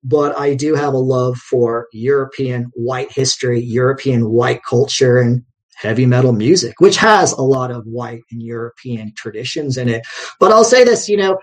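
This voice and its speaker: A man's voice: mid-range voice, animated, normal speed. A man talking in a rambling, conversational way as a guest in an interview. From United States. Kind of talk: casual interview talk.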